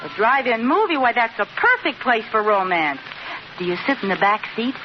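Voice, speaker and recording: An actress playing an excitable, meddling but well-meaning housewife in a 1950s radio sitcom, with a coy theatrical manner. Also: female voice, fairly high pitch, normal speed, lightly acted. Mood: sympathetic, nosy. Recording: muffled, noisy old radio recording, audience.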